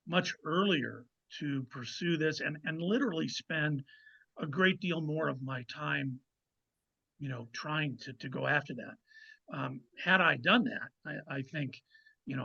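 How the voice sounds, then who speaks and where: A man's voice: on the high side, vocal fry, pushed and bright, slightly rough. A man, an author guesting on a podcast, chatting casually and reflectively in a hesitant way, on muffled audio.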